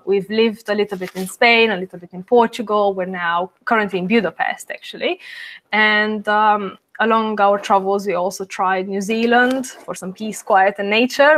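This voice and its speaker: A woman's voice: mid-range voice, fairly bright tone. A woman in her twenties from Romania, a guest on a travel podcast, answering in a friendly way with frequent "um"s. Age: 20s. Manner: friendly, many um fillers, laughs lightly. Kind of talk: podcast interview.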